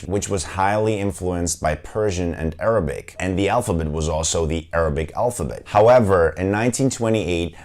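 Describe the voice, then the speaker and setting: A man raised in Germany whose first language is Turkish, giving a friendly, explanatory video lesson and slowing down to spell out word examples. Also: low-pitched, darkish tone. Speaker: language teacher.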